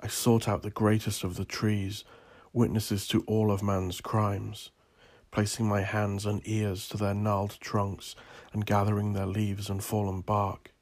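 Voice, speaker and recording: Low, gravelly man narrating darkly. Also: monotone, darkish tone. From Northern Ireland. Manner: brooding, solemn, theatrical, deliberate. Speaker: author narrating own story. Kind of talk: scripted dramatic narration.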